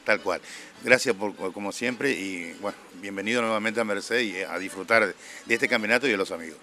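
A man's voice: on the low side, a little fast, pushed and bright. A man with an Argentine accent interviewing guests as a reporter for a rural TV programme. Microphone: handheld microphone.

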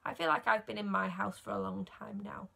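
A woman in her thirties reading a bedtime story aloud to children, sing-song and expressive, stressing key words. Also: medium pitch, vocal fry. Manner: slow, sing-song rhyming delivery with emphasis on key words.

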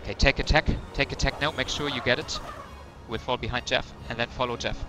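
Man, mid-pitched voice, race engineer, short radio instructions, music and vehicle noise. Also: steady tone, fairly quick. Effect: team radio transmission.

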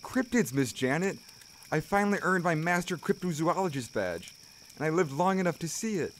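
A man playing an earnest, excitable boy scout raised by wolves in an audio drama. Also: fairly high pitch, moderate pace, very noisy, natural voice. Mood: awed.

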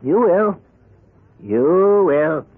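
An actor playing a smooth, menacing bookie with a coolly insistent, politely threatening manner in a 1970s radio drama. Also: male voice, a little high, animated, very slow, heavily acted. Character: smooth, menacing male bookie and debt collector. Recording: tinny band-limited old radio broadcast.

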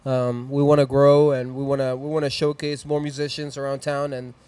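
A man's voice: medium pitch, flat delivery, fairly quick, darkish tone, clear, resonant tone, dry recording. A man in his thirties with a Mexican accent hosting a live music stream in a small studio, chatting loosely and plugging sponsors into a handheld mic. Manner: rambling, upbeat, plugs sponsors and socials. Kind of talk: casual chat and shout-outs. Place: small decorated studio room, indoors.

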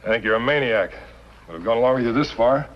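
A man's voice: mid-range voice, fast talker, a little hiss or hum, close to an everyday voice. An actor playing a blunt, sceptical, tough outlaw henchman in a 1950s television western. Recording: muffled old television soundtrack.

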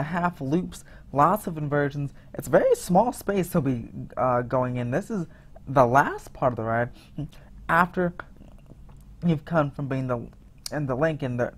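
Rambling enthusiastic man narrating photos. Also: mid-range voice. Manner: rambling, many fillers and restarts, enthusiastic. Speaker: theme park vlogger. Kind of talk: casual voice-over commentary on photos.

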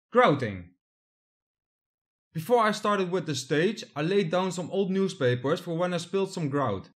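A young man from the Netherlands, narrating his hobby build step by step in a plain voice-over. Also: high-pitched.

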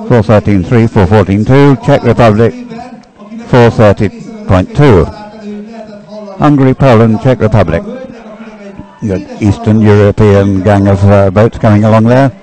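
A man's voice: on the low side, warm tone, poor audio. A man giving live sports commentary in a rambling, hesitant way, as if thinking aloud.

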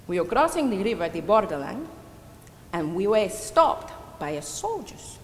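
In a reverberant hall with some background noise, an old Dominican widow played by a woman tells her story as a stage monologue. She has a Dominican Spanish accent and a hospitable, sorrowful pride. Her delivery is hesitant and confiding, rising and falling expressively. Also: medium pitch. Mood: sorrowful, grave. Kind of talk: dramatic theatrical monologue.

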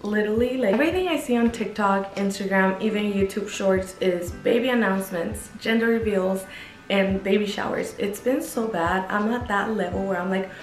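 A woman's voice: medium pitch, normal speed. A woman in her twenties from Guatemala, speaking, chatting casually and upbeat in a Q&A vlog from her bedroom. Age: 20s. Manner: chatty, upbeat, lots of "like" and "you know". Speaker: YouTuber. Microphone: camera at medium distance. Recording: echoey room, faint music.

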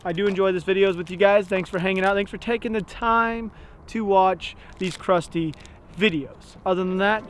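A young man from Texas with a Texan accent, hosting a casual fishing vlog outdoors, excitable and jokey with stumbling restarts. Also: high-pitched, normal speed, a little hiss or hum. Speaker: fishing channel host. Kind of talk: casual vlog talk to camera. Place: outdoors on a rural gravel lot.

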